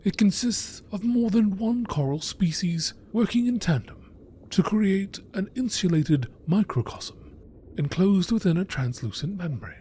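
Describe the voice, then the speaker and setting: Man narrating over clear background noise. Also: sits high, animated, unhurried, warm tone. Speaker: narrator reading game lore. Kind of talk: nature documentary style scripted narration. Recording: clearly noisy recording.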